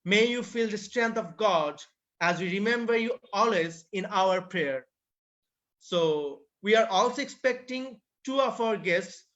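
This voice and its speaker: A man's voice: sits high, animated, a little slow, fairly bright tone. A man hosting an online session, politely inviting guests to introduce themselves over a video call. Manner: formal, polite. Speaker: host. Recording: muffled video call audio.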